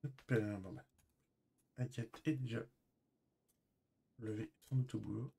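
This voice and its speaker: A man's voice: medium pitch, fairly even intonation, a little slow, vocal fry, dark tone, slightly rough. Man, mid-range voice, casual and hesitant streamer roleplay chat in short trailing phrases. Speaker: streamer playing a character in online roleplay.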